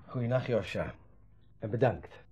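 An actor playing a thoughtful, modest young man with a quietly philosophical temper in a radio play. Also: fairly low pitch, lively intonation, unhurried. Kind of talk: Dutch radio play acting, naturalistic dialogue. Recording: dull old radio recording.

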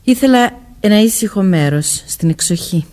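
A woman's voice: mid-range voice, lively intonation, warm tone, close to an everyday voice. Woman playing a guarded, curt young lady. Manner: curt, defensive answers. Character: guarded, evasive young woman of twenty-four, seeming older. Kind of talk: Greek radio theatre acting.

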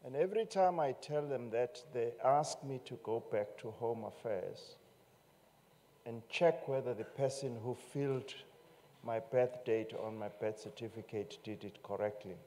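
A man's voice: medium pitch, unhurried, dark tone. A man in his fifties hosting a formal event at a podium, good-humoured and hesitant, with many fillers. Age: 50s. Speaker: agency director-general and master of ceremonies. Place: large marquee tent at an outdoor event. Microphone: podium microphone on a stand. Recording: reverberant live event sound.

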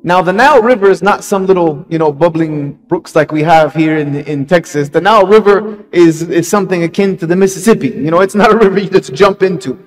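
A man giving a Friday sermon, telling a story with lively emphasis, repeating phrases and putting questions to the congregation. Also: high-pitched, a little fast, hardly any pauses, soft background music.

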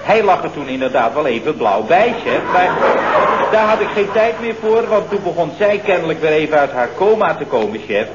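An actor playing an earnest, naive office subordinate in a radio comedy from around 1970, deferential and prone to stammering. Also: male voice, on the high side, very animated, a little fast. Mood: dutiful, earnest. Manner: stammering, hesitant starts, dutifully reporting. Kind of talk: 1970s Dutch radio comedy acting. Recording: muffled old radio recording, faint noise.

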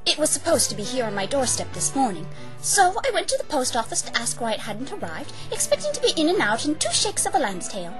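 A refined lady unicorn from a cartoon visual novel, in a very high, wildly swooping voice. She is genteel and affected, quick to outrage and grandly dramatic, with music playing underneath. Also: wildly swooping pitch, low-quality recording. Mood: prim, genteel. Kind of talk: fan-dub visual novel character acting.

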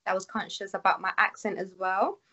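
A woman's voice: medium pitch, fairly even intonation, brisk, bright, forceful tone. Chatty Venezuelan-born woman. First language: Spanish. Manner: chatty, lots of "like". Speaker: guest, actress and model. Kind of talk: casual interview chat. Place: small room at home. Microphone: video call. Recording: muffled video call audio.

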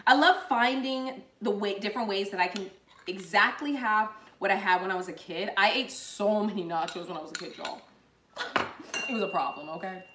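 A woman's voice: medium pitch, moderate pace, sharp tone, a little hiss or hum. A young woman hosting a live cooking show from her home kitchen, chatty and bubbly, talking to viewers as she assembles a dish. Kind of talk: live cooking demo, casual chat. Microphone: fixed camera at counter distance. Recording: echoey kitchen room.

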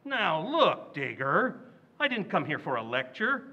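A man's voice: on the high side, big pitch swings, measured pace, bright, forceful tone, lo-fi sound, full character voice. An actor playing a boastful, blustering middle-aged father in a 1940s-style radio comedy, brash and full of chuckles. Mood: pleading.

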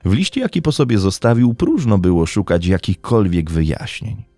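A man with a low, mellow voice tells a true crime story as a podcast narrator. His delivery is dramatic and deliberate, with pitch that moves expressively to build suspense. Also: very animated, no room sound, faint music behind.

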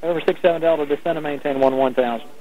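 A man's voice: a little high, very fast. Male air traffic controller on crackly radio. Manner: procedural, clipped phraseology. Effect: radio transmission. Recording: narrow-band, noisy air traffic radio.

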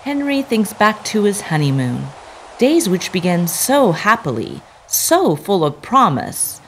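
A woman's voice: medium pitch, very animated, unhurried, a little hiss or hum, poor audio. A woman narrating a scripted story as a storyteller in an audio drama.

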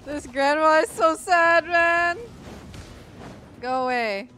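A young woman from the Philippines giving casual, slangy, expressive reaction commentary over a video game. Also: high voice, slight background noise. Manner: expressive, slangy, drawn-out exclamations. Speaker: gameplay YouTuber.

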